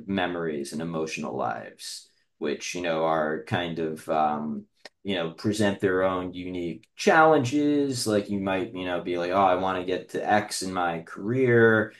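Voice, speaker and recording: Guy in his 30s, deep voice, slow and halting, thinking out loud on a podcast. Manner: hesitant, halting, thinking aloud. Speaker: podcast host. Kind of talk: casual reflective conversation.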